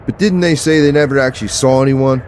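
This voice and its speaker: An actor playing a blunt, impatient, dismissive sheriff's deputy in an audio drama, with a sardonic manner. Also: male voice, medium pitch, fairly even intonation, quick pace, darkish tone, lightly acted. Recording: faint vehicle ambience noise.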